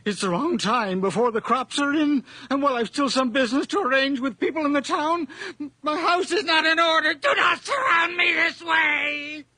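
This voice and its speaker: An actor playing a frail, fearful old widower in a 1980s radio drama, brooding and weary, with frightened cries at times. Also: male voice, extremely high pitch, moderate pace, pushed and bright, dry recording, shouting, full character voice. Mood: terrified. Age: older.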